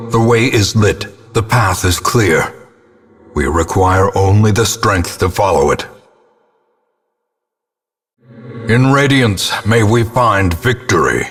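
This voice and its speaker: An actor voicing a grim, ominous old ghostly ancestor as a gothic horror game narrator, solemn and theatrical, with a gravelly, husky voice. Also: male voice, sits low, fairly bright tone, full character voice. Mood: solemn hope. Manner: solemn, portentous, deliberate delivery.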